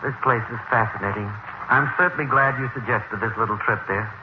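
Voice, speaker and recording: An actor playing a stubborn, devoted husband in a theatrical 1950s radio style. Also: male voice, medium pitch, fairly quick, music in the background, close to an everyday voice. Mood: cheerful, affectionate. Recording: dull, noisy vintage radio recording.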